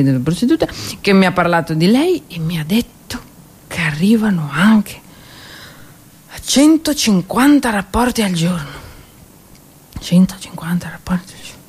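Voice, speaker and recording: A woman performing a theatrical monologue as a drunk, lonely woman soldier in a First World War trench, rambling and halting in her speech. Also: mid-range voice, lightly acted. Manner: slurred, halting, tipsy rambling.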